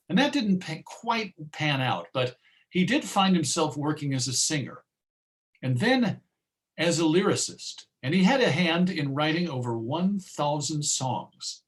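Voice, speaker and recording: A man giving an informative talk as a presenter on music history. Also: fairly high pitch.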